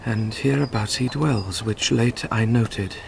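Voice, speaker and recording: An actor with a British accent playing an impassioned, grief-stricken young nobleman in a classical Shakespearean radio drama, delivering verse theatrically and deliberately. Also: medium pitch, gravelly, slight background noise. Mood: brooding, desperate.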